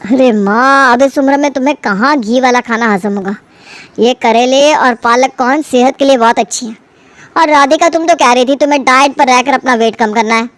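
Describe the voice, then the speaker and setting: A woman's voice: sits high, normal speed, a bit bright, no room sound. A woman voicing a sensible adult elder brother in a cartoon family story, reasoning and mildly chiding. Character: adult elder brother, sensible husband defending his wife's healthy cooking.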